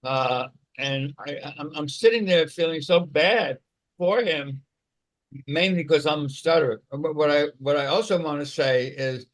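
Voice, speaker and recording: A man's voice: on the high side, a little slow. A man with a mild stutter on a video call, explaining things in a casual discussion, often repeating words. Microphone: online video call.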